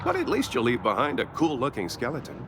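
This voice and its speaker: A man playing a wry, deadpan nature documentary narrator in a video game. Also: medium pitch, quick pace, noisy background. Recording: loud music behind the voice. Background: loud game music.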